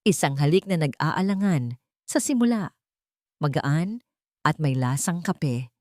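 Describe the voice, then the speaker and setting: A woman's voice: low-pitched, expressive, a little slow, dark tone, dry recording, studio quality. A woman storyteller narrating a scripted romantic story.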